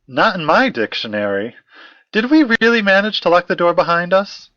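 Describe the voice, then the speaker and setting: A man's voice: high-pitched, big pitch swings, moderate pace, bright, forceful tone, no room sound, highs cut off, heavily acted. A man playing a formal, fussy academic in an audio drama, precise and wordy, with old-fashioned politeness. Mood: formal, measured. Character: formal, fussy, courteous male academic investigator.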